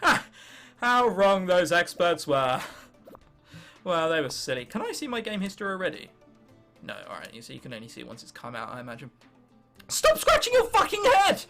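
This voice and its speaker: A young English man chats through a video game in a high, bright voice. His intonation swoops around as he mutters, mocks himself and swears in a loose, sarcastic running commentary. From England. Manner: self-mocking, sarcastic, swears freely. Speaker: gaming video host. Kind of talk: casual gameplay commentary.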